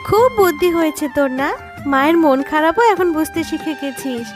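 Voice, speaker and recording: A very high, gentle voice for a worried fox mother in a children's cartoon acted by a woman. She pleads and patiently explains, like a poor widow looking after her child. Music plays behind her. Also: brisk, close to an everyday voice. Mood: tender. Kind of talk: Bengali children's cartoon dubbing.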